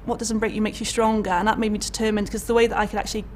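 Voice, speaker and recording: A woman's voice: medium pitch, little pitch movement, very fast, a little mellow, a little hiss or hum. A young woman with a Welsh accent, a college lecturer, talking candidly about her career in an interview, chatty with many fillers and restarts. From Wales. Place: indoor college room.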